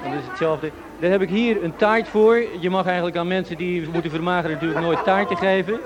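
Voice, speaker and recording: A man's voice: high voice, quick pace, low-quality recording. A man with a Netherlandic Dutch accent presenting live on location in an informal, chatty way, directing people around. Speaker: radio host. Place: outdoors on a residential street. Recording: outdoor street recording with music and crowd. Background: loud music, crowd, traffic.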